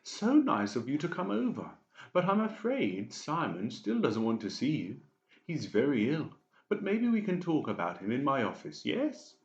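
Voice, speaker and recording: A man's voice: mid-range voice, very animated, moderate pace, darkish tone, reverberant, highs cut off, voice pushed up. A man voicing a falsely sweet, scheming psychiatrist with exaggerated politeness in a dramatised audiobook.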